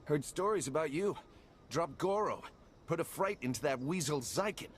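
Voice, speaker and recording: A man voicing a rough, excitable pirate underling in a video game, agitated and rough-spoken. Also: fairly high pitch, normal speed, a little hiss or hum, close to an everyday voice. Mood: defiant.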